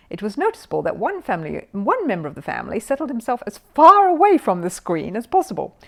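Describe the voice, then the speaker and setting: Animated woman reading a children's story. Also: medium pitch. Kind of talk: expressive scripted storybook narration.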